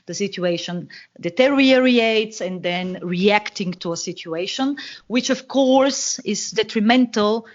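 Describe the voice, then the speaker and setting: Woman, Bulgarian accent, hesitant with fillers, medium pitch, dull lo-fi call sound. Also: measured pace. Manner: hesitant, many fillers and restarts. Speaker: guest analyst. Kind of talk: podcast interview, explaining.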